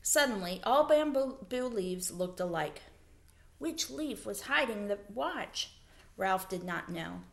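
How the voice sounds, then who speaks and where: A woman reading a children's story aloud as a teacher, in expressive narration. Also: medium pitch, slow pace, creaky.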